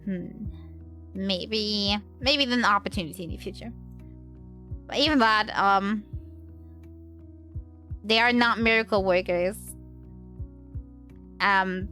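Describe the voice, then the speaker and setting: A woman's voice: mid-range voice, a bit bright. A woman livestreamer with a slight cold, chatting casually in a hesitant, rambling way.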